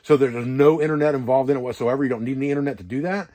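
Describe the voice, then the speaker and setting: A man's voice: mid-range voice, very fast, warm tone. A man with a mid-range voice hosts an instructional vlog in a casual register. He explains in a loose, rambling, practical manner, like an instructor walking viewers through a setup. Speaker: outdoor preparedness instructor and video host. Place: wall tent at a hunting camp.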